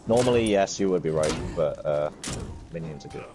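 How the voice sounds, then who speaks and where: Deep-voiced man patiently coaching a gamer. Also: very animated, vocal fry, background noise. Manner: patient explaining, halting with fillers. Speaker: gamer guiding a new player. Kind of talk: casual gaming chat. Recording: game music behind voice.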